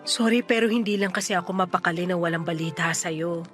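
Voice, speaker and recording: A woman playing a worried, caring young friend in a Filipino radio drama, earnest and gently insistent, with a Filipino accent. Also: on the low side, fast, lightly acted. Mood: worried, probing. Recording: music behind the voice.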